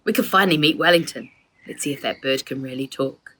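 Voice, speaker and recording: A woman's voice: very deep voice, fairly quick, bright, forceful tone, a little hiss or hum. A woman voicing an eager, admiring young boy in a children's story, earnest and keen.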